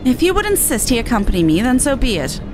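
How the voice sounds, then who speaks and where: A woman's voice: a little high, very animated, fast, music in the background. A woman reading game dialogue as a haughty, wordy male scholar with formal, sarcastic airs. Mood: formal, condescending. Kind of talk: game dialogue read aloud in character.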